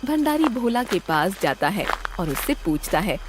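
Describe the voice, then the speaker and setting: A woman's voice: medium pitch, very animated, normal speed, over music. A woman narrator reading a scripted children's story.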